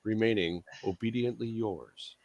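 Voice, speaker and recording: A man's voice: on the low side, measured pace, lo-fi sound, over a noisy crowd. A man reading scripted informational narration on a noisy recording.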